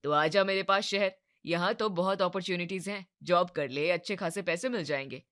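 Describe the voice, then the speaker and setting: Woman as a loud, pushy young city man. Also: lowish voice, moderate pace, lightly acted. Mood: persuasive. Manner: insistent, persuasive. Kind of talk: Hindi animated moral story dialogue.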